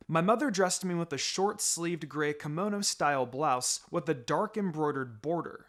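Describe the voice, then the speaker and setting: A man narrates a fan-written story aloud in the first person. His voice sits a little high and moves up and down a lot, giving the reading an expressive, animated feel. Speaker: fan fiction reader.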